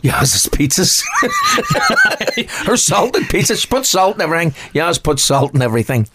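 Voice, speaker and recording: Brief: sixty-something dad, upbeat, lively up-and-down delivery. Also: male voice, high-pitched, measured pace, heavy background noise. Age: 60s. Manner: cheerful, enthusiastic, laughs easily. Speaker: guest, the host's father. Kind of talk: casual chat.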